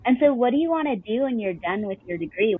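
A woman's voice: a little high, expressive, fast talker, slightly rough, no room sound, phone-quality sound. A woman hosting a radio interview show, upbeat and encouraging with her guest. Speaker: radio host.

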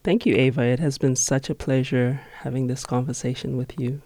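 A man in his thirties from Zimbabwe, speaking as an interview guest in a radio studio in an earnest, measured, reflective way. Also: mid-range voice, fairly even intonation, dark tone, no room sound. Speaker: interview guest, nonprofit managing director.

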